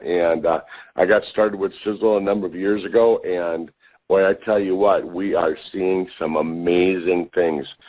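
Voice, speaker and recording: A man with a hoarse voice opening a phone call, talking in a folksy, halting way with many fillers. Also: low-pitched, darkish tone. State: hoarse after a long drive. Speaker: chiropractor and call host.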